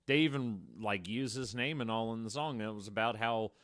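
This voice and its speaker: A man's voice: fairly low pitch, a little fast, a bit bright, dry recording, studio quality. A man co-hosting a podcast, telling true-crime stories casually in a wordy way full of asides. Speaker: podcast co-host.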